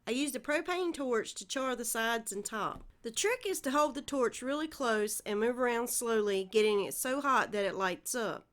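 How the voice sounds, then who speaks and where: A woman's voice: a little high, lively intonation, moderate pace, few pauses, a bit bright. Southern woman narrating a DIY project. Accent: Southern American. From Southern United States. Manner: friendly, step-by-step, plainspoken. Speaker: DIY channel host. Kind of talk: instructional voice-over narration.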